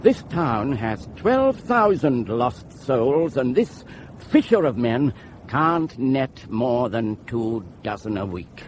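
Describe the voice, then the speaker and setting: An actor playing a fiery, defiant pamphleteer, declaiming with scorn and suspicion in a theatrical drama style. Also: male voice, mid-range voice, very animated, slow, a little hiss or hum, poor audio. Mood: bitter, scornful. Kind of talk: theatrical dramatic monologue and dialogue.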